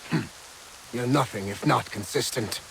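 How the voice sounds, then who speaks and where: An actor playing a gruff, blustering revolutionary army officer who barks orders bluntly. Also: male voice, mid-range voice, unhurried, fairly bright tone, a little hiss or hum, poor audio. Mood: wry. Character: gruff blustering male revolutionary soldier, middle-aged.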